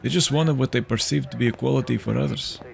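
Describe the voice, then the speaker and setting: A man acting as a grim veteran detective in an audio drama. His voice is gravelly and mid-pitched, and he speaks with halting, worn-out gravity. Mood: grim, shaken. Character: weary, grim veteran male detective at a crime scene.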